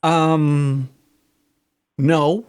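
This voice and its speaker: A man's voice: a little high, little pitch movement, very slow, darkish tone, clear, resonant tone. A man hosting a casual call-in show, joking and distracted as he searches for things online. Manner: joking, distracted, trash-talking. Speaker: radio show host.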